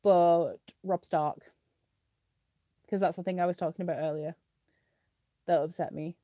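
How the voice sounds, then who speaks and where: A woman's voice: lowish voice, fairly even intonation, unhurried, no echo, highs cut off. A young woman with a British accent, sniffly with a slight cough, chatting casually as she answers viewer questions in a live stream, with lots of "like" fillers. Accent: British English.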